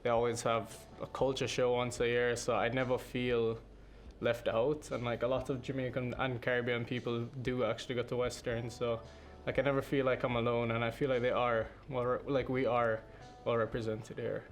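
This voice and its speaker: A male student chatting casually at a roundtable, relaxed and full of "like". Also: medium pitch, normal speed, faint music behind. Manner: relaxed, lots of "like".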